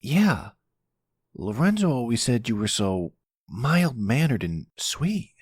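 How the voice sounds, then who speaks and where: A man voicing an excitable, blunt young boy in an ASMR roleplay drama, eager and stammering. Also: medium pitch, takes it slowly, husky, no echo, clean studio sound, voice pushed up, exaggerated voice. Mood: curious.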